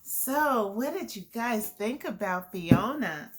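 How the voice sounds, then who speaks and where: A woman telling a children's story in an expressive, deliberate way, as host speaking to young listeners. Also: fairly high pitch.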